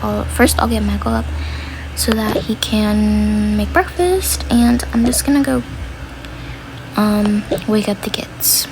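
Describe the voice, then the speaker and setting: A woman's voice: mid-range voice, slow pace, vocal fry. A woman voicing a tired, grudging mother in a casual, hesitant way for a homemade family role play. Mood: weary, resigned. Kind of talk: amateur doll-style family role play. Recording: noisy home recording.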